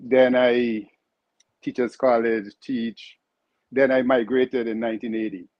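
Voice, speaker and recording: A man in his sixties from Canouan, a retired guest who chats with halting fillers and laughs. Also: mid-range voice, flat delivery, no room sound, dull audio. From Canouan, Saint Vincent and the Grenadines. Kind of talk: casual interview chat.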